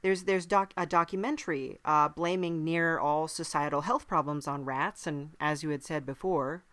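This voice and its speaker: A woman's voice: sits low, fairly even intonation, moderate pace, fairly bright tone, no echo. A woman playing a mild-mannered, friendly demon who hosts a comic podcast, speaking politely and hesitantly. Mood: mild, thoughtful. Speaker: podcast host. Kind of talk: improvised comic interview in character.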